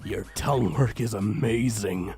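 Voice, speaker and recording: A man voicing a courteous, excitable male giant ape ambassador in a story reading. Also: on the low side, unhurried, faint music behind, pitched below the performer's own voice, close to an everyday voice. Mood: pleased, courtly. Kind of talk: storybook character voice.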